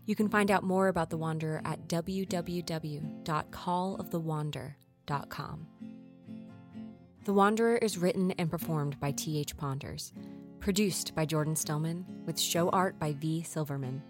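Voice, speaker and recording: A woman's voice: low-pitched, normal speed. A woman announcer reading scripted closing credits for a podcast. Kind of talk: scripted narration. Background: guitar music.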